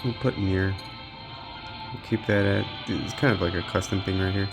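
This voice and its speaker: A man's voice: deep voice, moderate pace, gravelly, music in the background. A man giving casual, unscripted gaming commentary as a channel host, thinking aloud in a laid-back way.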